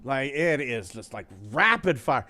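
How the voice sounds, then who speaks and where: A middle-aged man hosting a podcast, grumbling about prices with profane, animated storytelling. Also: mid-range voice, measured pace. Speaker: podcast host. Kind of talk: casual podcast chat.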